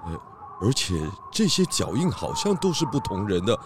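A man's voice: lowish voice, very animated, moderate pace, background noise. A man playing an inquisitive, decisive pig detective who muses and questions aloud in a children's audio drama. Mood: pondering. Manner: musing, questioning, hesitating aloud.

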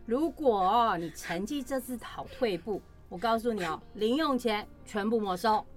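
A woman's voice: on the high side, lively intonation, unhurried, soft background music. A woman playing a schoolgirl's exasperated mother in an audio drama, nagging and scolding.

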